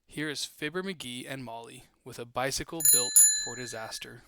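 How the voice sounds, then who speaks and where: A man's voice: medium pitch, normal speed, loud music behind the voice. A man reading a scripted introduction to an old comedy episode. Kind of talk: scripted promotional narration.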